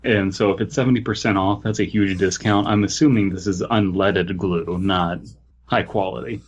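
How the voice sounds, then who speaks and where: A man with a rather low, husky voice co-hosts a podcast on slightly dull audio. He reads and banters casually, with deadpan, mock-serious delivery. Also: normal speed. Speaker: podcast co-host. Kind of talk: casual banter and story reading.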